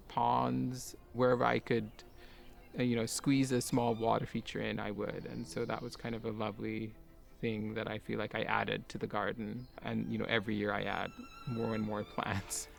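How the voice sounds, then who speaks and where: A man who grew up in Kuwait, a garden owner and artist, talking in a soft-spoken, musing way with light laughs. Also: lowish voice, little pitch movement, moderate pace, vocal fry, soft background music. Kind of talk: reflective personal talk for a garden video.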